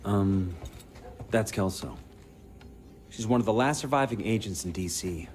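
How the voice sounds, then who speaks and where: An actor playing a weary, sardonic comms coordinator in a video game, briefing in a blunt, informal way. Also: male voice, fairly low pitch, animated, unhurried, a little mellow, slight background noise. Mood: wry, urgent. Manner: blunt, informal, foul-mouthed. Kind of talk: video game cutscene acting.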